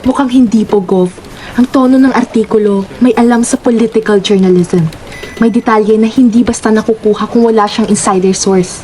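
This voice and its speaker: Woman acting businesslike assistant, deferential, formal, mellow, radio drama. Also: mid-range voice, fairly even intonation, fairly quick, slight background noise, natural voice. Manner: formal, respectful. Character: deferential, businesslike aide to a governor.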